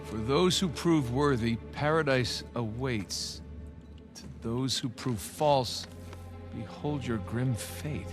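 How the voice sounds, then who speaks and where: A man's voice: medium pitch, slow pace, lightly acted. A man acting as a curious, wry treasure hunter in a video game, with casual, dry banter. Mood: reading solemnly. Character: wry, curious adult male treasure hunter, younger brother. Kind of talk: naturalistic cinematic game dialogue. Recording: cinematic music behind dialogue.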